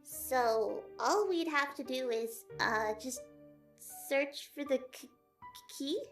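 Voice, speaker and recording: A woman's voice: sits high, animated, slow pace, reverberant, faint music behind, heavily acted. A woman playing a timid, nerdy lizard scientist in an audio drama, stammering, hesitating and rambling when flustered. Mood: nervous. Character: timid, nervous, anime-obsessed female lizard scientist. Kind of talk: amateur audio drama character acting.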